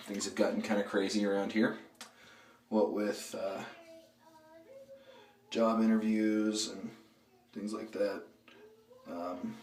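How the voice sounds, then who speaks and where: Hoarse deep-voiced man in an echoey bathroom. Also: recorded from a distance, slight background noise. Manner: relaxed, rambling, many fillers. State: hoarse from a throat cold. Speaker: shaving video host. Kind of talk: casual product review while shaving.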